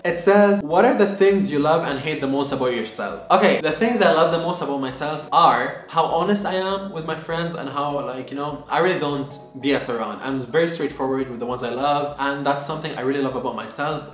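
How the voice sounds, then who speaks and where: Early-20s guy from lebanon rambling happily to his camera, kinda high voice, echoey room. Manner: lively, chatty, many "like" fillers. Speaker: vlogger. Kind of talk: casual chat to camera. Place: bedroom, indoors. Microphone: camera in front, medium close. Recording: echoey room with faint music.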